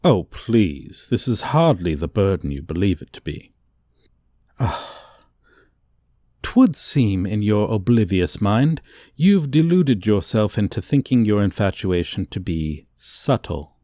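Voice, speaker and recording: A man's voice: fairly low pitch, big pitch swings, takes it slowly, warm tone, dry recording, clean studio sound. A man voicing a haughty dragon professor in an ASMR roleplay, condescending to a human student in pompous, archaic, formal diction. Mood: condescending, amused.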